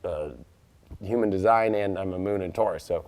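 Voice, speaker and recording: A man with a raspy voice hosting a casual live tarot reading, rambling and excitable, with lots of fillers and sudden loud outbursts. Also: deep voice, normal speed. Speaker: tarot reader and astrologer.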